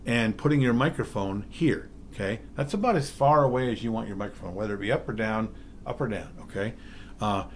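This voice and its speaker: A middle-aged man with a baritone voice giving a DJ training talk, emphatic, often checking in with "okay?". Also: a little hiss or hum. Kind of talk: spoken presentation.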